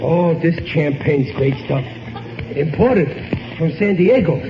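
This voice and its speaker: High-voiced blustering sitcom husband. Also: male voice, animated, slow. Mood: fussy, eager. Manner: pompous malapropisms, cocky scheming. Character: blustering, dim, big-hearted working-class husband and father. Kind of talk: 1940s radio sitcom acting. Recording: old muffled radio broadcast, noisy hiss.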